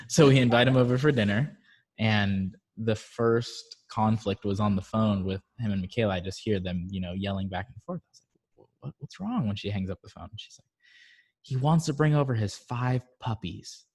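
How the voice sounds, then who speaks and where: A man from Barbados chatting on a video call, telling stories in an animated way and jumping to sudden high notes. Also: moderate pace, slightly rough. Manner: animated storytelling with sudden high-pitched outbursts. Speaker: guest. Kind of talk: casual chat.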